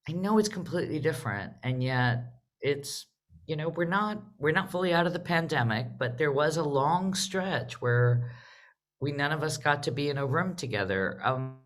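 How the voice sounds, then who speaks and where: A woman's voice: low voice, a bit bright. A woman moderates a live theatre discussion in an informal, conversational way. She speaks as the host, putting questions to her guests and responding to them. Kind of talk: casual live panel moderation.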